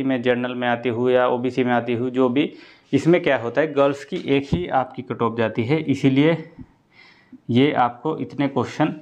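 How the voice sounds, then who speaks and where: Male coaching teacher, dull lo-fi recording. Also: mid-range voice. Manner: explanatory, repetitive, often checks with "okay". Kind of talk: informal exam-prep lesson to students. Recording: dull lo-fi sound.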